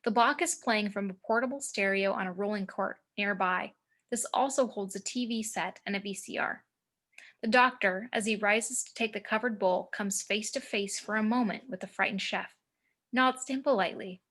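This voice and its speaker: Woman narrating screenplay directions, mid-pitched. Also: moderate pace, a bit bright. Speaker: narrator reading stage directions. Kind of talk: screenplay table read narration. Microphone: video call.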